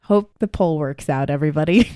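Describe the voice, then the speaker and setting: A woman's voice: low-pitched, fairly quick, dark tone, dry recording, clean studio sound. A woman co-hosting a food podcast, chatting casually with a bubbly, playful manner and frequent laughter. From Hawaii. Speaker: podcast co-host. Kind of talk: casual chat.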